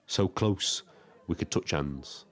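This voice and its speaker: An actor playing a lonely, reflective taxi driver in an audio drama, telling his story in a measured, literary way. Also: low-pitched. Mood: wry, wistful. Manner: wordy, literary, measured first-person storytelling. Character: Frank, lonely reflective male Brighton taxi driver and diarist. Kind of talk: scripted dramatic diary narration.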